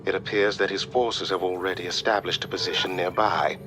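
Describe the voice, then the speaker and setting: A man's voice: low voice, steady tone, fairly quick, sharp tone, slight background noise. A man playing a composed, clever scientist advisor in a video game, briefing in a precise, formal manner. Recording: faint music behind.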